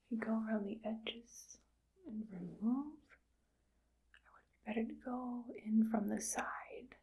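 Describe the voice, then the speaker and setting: A woman voicing a callous female mad scientist in an ASMR roleplay, whispering softly in a slow, coldly matter-of-fact way. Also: a little high, husky, exaggerated voice, rough recording. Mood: cold musing. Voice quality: soft whisper. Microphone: close sensitive mic.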